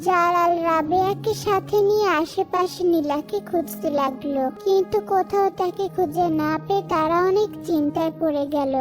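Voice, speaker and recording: A woman's voice: extremely high pitch, normal speed, hardly any pauses. A woman reading scripted narration for a cartoon story. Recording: music behind the voice.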